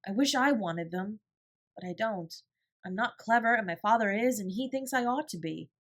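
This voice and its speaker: A woman's voice: medium pitch, expressive, normal speed. Woman voicing a rueful, naive schoolgirl. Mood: resigned. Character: plump, dejected schoolgirl, slow learner, naive and admiring.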